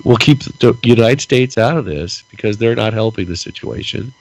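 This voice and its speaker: A man's voice: lowish voice, moderate pace, vocal fry, dry recording, rough recording. A man co-hosting a casual political podcast, ranting with lots of repeated words while losing his voice and stuffy-nosed. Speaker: podcast co-host.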